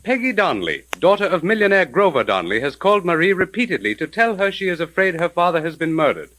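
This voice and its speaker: A man's voice: high voice, very animated, fast talker, fairly bright tone. A man narrating as the formal, theatrical announcer of a 1930s radio mystery serial, with a declamatory delivery. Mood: dramatic, suspenseful. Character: dramatic male radio mystery announcer recapping the story.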